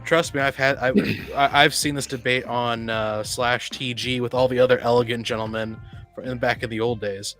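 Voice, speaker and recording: A man with an average-pitched voice talks casually as a podcast guest. He starts sentences haltingly, doubling up words, while faint music plays underneath. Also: fairly even intonation, fairly bright tone. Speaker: podcast panelist. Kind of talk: casual chat.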